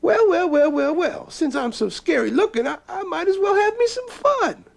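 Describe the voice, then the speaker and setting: A man voicing a tricky, boastful male rabbit in a storybook tale, with a high, sing-song squealing voice. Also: animated, normal speed, gravelly, heavily acted. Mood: gleeful. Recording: guitar playing behind.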